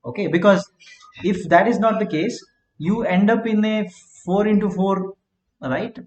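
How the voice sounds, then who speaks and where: A man lecturing a class who often checks in with "right?", repeats words and dictates notes slowly. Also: high-pitched, darkish tone. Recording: echoey classroom, dull lo-fi sound.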